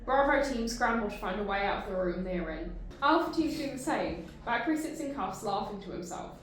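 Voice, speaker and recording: Woman, table read narrator, brisk, echoey. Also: medium pitch, animated. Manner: brisk reading of stage directions.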